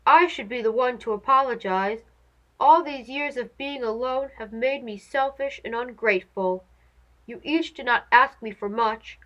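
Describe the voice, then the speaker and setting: A woman's voice: a little high, normal speed, fairly bright tone, highs cut off, natural voice. A woman voicing an old, lonely male storybook monkey with a dramatic, pleading delivery. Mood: remorseful. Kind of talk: children's storybook character voice.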